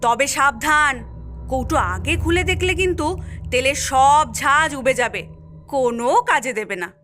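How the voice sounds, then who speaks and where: A woman's voice: high voice, normal speed, pushed and bright. A woman voicing a scheming cartoon character who coaxes and instructs in a sly manner. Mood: coaxing, instructing. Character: scheming woman coaxing gullible youngsters into mischief. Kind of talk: cartoon voice acting. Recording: music behind the voice.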